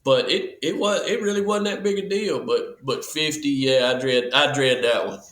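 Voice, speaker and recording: A man's voice: a little high, normal speed, a bit bright. Forties guy talking easy and folksy, keeps restarting, room's got some echo. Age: 40s. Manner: easygoing, folksy, stumbles and restarts. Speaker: podcast guest. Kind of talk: casual chat. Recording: echoey room.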